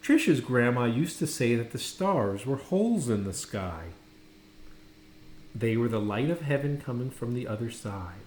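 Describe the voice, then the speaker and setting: A man narrates a children's storybook like a teacher reading to a class. His delivery is expressive, with wide swings in pitch. The room adds clear echo. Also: a little slow, a little hiss or hum. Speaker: educator reading a picture book aloud. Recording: echoey room.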